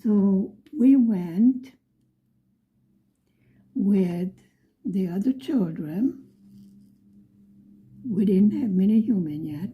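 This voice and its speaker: A middle-aged woman from Buffalo, New York, telling a story in a soft, often whispery voice. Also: mid-range voice, lively intonation, drawn-out words, pauses often, phone-quality sound. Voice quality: frequently whispery, breathy delivery. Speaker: vlogger.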